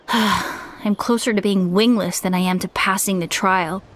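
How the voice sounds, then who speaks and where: A woman voicing an earnest young warrior in training in a game, lively and quick to surprise. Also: medium pitch, noisy background, natural voice.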